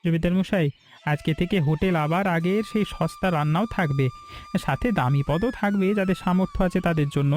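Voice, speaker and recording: A man's voice: high-pitched, moderate pace, a little mellow, close to an everyday voice. A man playing a loyal, eager hotel assistant in a cartoon, deferential and earnest. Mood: respectful. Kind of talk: Bengali moral-story cartoon dialogue.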